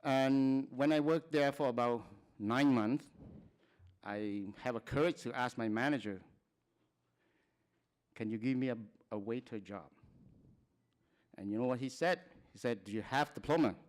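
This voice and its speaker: Smooth-voiced man from Cambodia, halting. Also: medium pitch, darkish tone. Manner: plain, halting talk with frequent restarts and short laughs. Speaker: conference speaker. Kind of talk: personal testimony at a conference.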